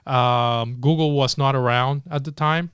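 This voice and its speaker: A man's voice: medium pitch, fairly even intonation, measured pace, fairly bright tone, smooth, no room sound, clean studio sound. A man in his forties from Venezuela, a tech entrepreneur telling his story in a casual interview, hesitant with many fillers and restarts.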